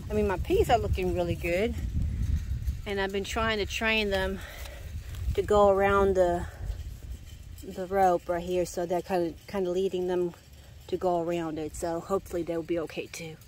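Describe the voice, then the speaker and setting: A woman with a fairly low voice, stuffy and nasal from a head cold, talks her way through her backyard garden. She rambles in loose, self-correcting sentences, often trailing off or sighing. She speaks outdoors into a camera she holds while walking. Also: steady tone, normal speed, long pauses, darkish tone, slight background noise. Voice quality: nasal from congestion. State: congested. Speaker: gardening vlogger. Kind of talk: casual garden walk-through. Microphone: handheld camera filming while walking.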